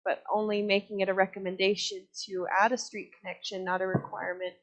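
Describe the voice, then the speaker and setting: A woman with a medium-pitched voice presents recommendations to a civic board. The sound is somewhat muffled and lo-fi. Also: little pitch movement, fast talker. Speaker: city planning staff presenter. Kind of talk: presenting recommendations at a public meeting. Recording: dull lo-fi meeting audio.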